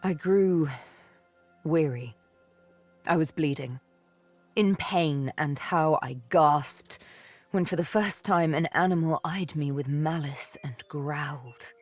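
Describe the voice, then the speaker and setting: An actress playing a haunted, wistful young elven woman in a video game, telling her tale in deliberate, poetic, theatrical phrases. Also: sits low, soft background music. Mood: haunted, pained. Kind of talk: dramatic video game dialogue, intimate storytelling.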